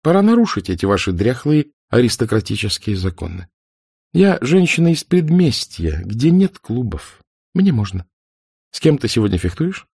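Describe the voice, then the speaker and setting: A man reads the part of a playful young woman, teasing and affectionate in an audiobook. His voice lifts somewhat above its low natural pitch. The recording is dry and close. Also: big pitch swings, normal speed, creaky, darkish tone, slightly rough, no echo, full character voice.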